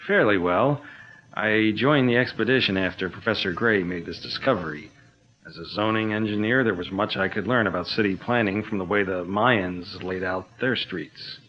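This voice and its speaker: Polite, low-voiced engineer, eager but uneasy, a man in the role, old-time radio drama, muffled audio. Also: moderate pace, slight background noise, close to an everyday voice. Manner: polite, eager, slightly nervous. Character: genteel, nervous male engineer and amateur archaeologist. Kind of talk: 1940s radio acting. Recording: dull lo-fi old-radio sound.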